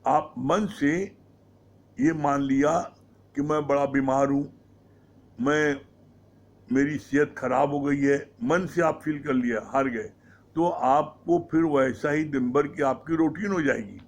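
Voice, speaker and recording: A man giving a devotional discourse on scripture. He explains slowly and keeps asking rhetorical questions, with English words mixed into his Hindi. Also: on the high side, fairly even intonation, dull audio. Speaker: satsang speaker.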